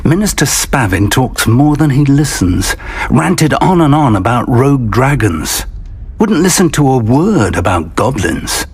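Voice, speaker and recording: Older wizard mentor for a fantasy game: kindly, wry, slow and gravelly, lively pitch, music underneath. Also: male voice, no room sound, exaggerated voice. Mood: wry, eager. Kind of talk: video game cutscene acting.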